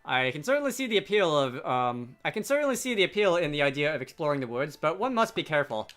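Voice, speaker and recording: Man reading as an earnest young princess, bright. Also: on the high side, sing-song, fast talker. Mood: worried. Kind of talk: reading game dialogue aloud. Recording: game music behind.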